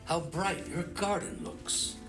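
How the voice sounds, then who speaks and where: An actor playing a kindly, wise old wizard in an audio drama, speaking in a measured, avuncular manner. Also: male voice, high-pitched, fairly even intonation. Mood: calm, grave. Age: older. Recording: echoey, distant, music behind.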